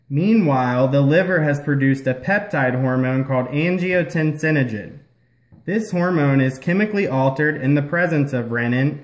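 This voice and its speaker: A man's voice: a little high, lightly acted. A man playing a patient, explanatory health-care puppet in a scripted educational show. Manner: explanatory, instructional. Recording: roomy echo.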